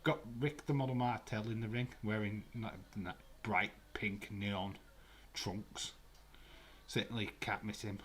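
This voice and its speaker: A man's voice: lowish voice, steady tone, measured pace, a bit bright. A man with a Glasgow accent hosting a live watch-along stream from a room at home, chatting casually with viewers in a rambling way with many fillers. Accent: Glaswegian. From Scotland.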